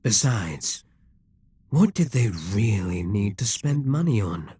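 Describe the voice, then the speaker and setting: A man voicing an immortal, scheming and possessive demon king in an audio roleplay, speaking in a measured, persuasive way with quiet whispered asides. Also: lowish voice, very animated, slightly rough, no room sound, exaggerated voice.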